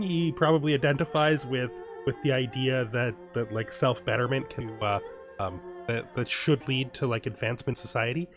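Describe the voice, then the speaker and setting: A man hosting a let's play, thinking aloud as he narrates a strategy game, with many fillers and restarts. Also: mid-range voice. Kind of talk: casual game commentary.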